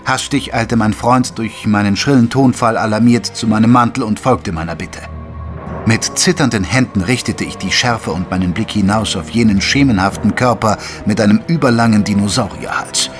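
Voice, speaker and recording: A man playing an earnest Victorian doctor who narrates a detective audio drama in a measured, literary way. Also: fairly low pitch, music in the background, natural voice. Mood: measured, grave. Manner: measured, literary storytelling delivery. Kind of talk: scripted German audio drama narration with dialogue.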